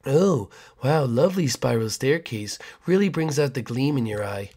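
Man putting on a sinister neighbor, slow oily folksy drawl, hushed and lower than his own voice. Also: lively intonation, slight background noise, natural voice. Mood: creepy. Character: creepy smooth-talking male new neighbor.

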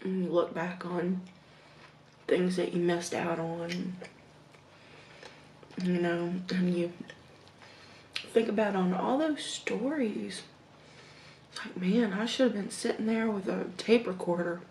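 A woman in her thirties with a Southern American accent, slowly telling stories while eating at her dining table at home. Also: fairly low pitch, reverberant, slight background noise. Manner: slow, reflective, with hums and soft laughs between bites. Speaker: mukbang vlogger. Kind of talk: casual storytelling while eating. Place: home dining room, indoors.

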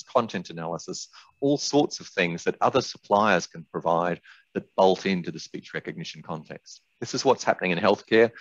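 An older man with a low voice gives a webinar talk. He explains things in measured steps, like a product expert teaching. The sound is close and dry, a little muffled, as on a video call. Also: a bit bright. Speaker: presenter, software expert. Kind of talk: webinar presentation with product demonstration. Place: indoors, small room on a video call. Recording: dull, roomy webinar audio.

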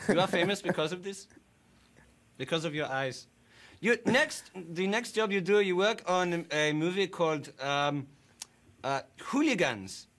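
A man's voice: sits high, expressive, a bit bright. A man playing a bumbling German interviewer. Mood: awkward. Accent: German (put on). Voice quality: halting broken English, awkward pauses. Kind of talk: prank interview.

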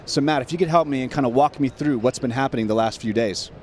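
A man's voice: mid-range voice, fast talker, a little hiss or hum. A young man hosting a live pregame show on a handheld mic at a tournament studio desk, upbeat and hyped as he introduces guests. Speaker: host. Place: studio set at a convention center.

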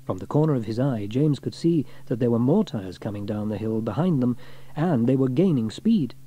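A man reads a children's story aloud in an expressive way. His voice is mid-pitched and mellow, with a lively rise and fall suited to audiobook narration. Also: moderate pace.

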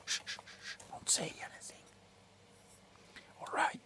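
A middle-aged man playing a grumpy, drunk doctor in an ASMR roleplay, grumbling sardonically close to the mic between soft talk and whispers. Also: measured pace, natural voice. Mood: mock urgent. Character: grumpy, drunk male doctor on a Christmas house call. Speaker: ASMR performer. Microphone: very close to the face.

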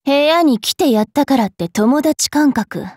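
A woman playing a haughty, mischievous young vampire fairy priestess in an anime game, brash and teasing in a rough-spoken way. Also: high-pitched, expressive, measured pace, darkish tone, no room sound, studio quality. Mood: demanding, aloof. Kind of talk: anime game character voice lines.

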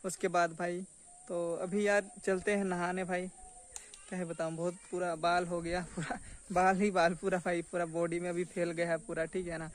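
A man's voice: high voice, level tone, normal speed. A young man chatting casually and informally to viewers of his vlog, often repeating himself. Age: young adult. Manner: informal, repetitive, addresses viewers as friends. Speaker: vlogger. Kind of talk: casual vlog chat to camera. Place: outdoors in a village yard under trees. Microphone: phone camera at arm's length. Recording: outdoor phone recording with faint noise.

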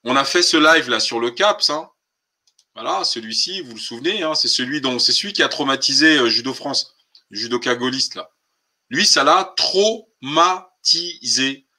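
Forceful, bright-voiced man, emphatic and insistent. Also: mid-range voice, expressive, moderate pace. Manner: emphatic, insistent, rhetorical questions, colloquial. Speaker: live stream host. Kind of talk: impassioned political talk on a live stream. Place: online group call.

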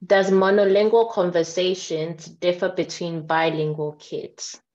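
A woman's voice: lowish voice, fairly even intonation, measured pace, a little mellow. A woman moderating a webinar on a video call, politely reading audience questions aloud. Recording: dull, lo-fi video call audio.

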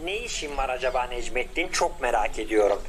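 A man voicing a confident male lead scientist who gives orders to his younger brother in a bossy but warm way. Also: mid-range voice, lively intonation, brisk, soft background music, close to an everyday voice. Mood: commanding.

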